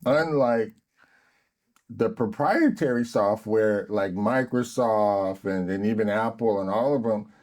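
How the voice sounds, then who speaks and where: A man as a podcast guest, talking at an ordinary middle pitch with a warm, mellow tone. He explains things patiently and keenly to a friend, wandering off into tangents. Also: takes it slowly. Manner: patient, enthusiastic explainer who drifts into tangents.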